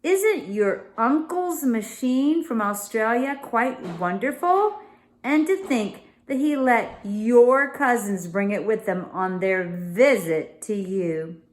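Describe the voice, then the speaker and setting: A woman's voice: fairly high pitch, lively intonation, slow, voice pushed up. A woman voicing a bold, headstrong young girl in a children's storybook, insistent and emphatic. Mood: loud, pointed.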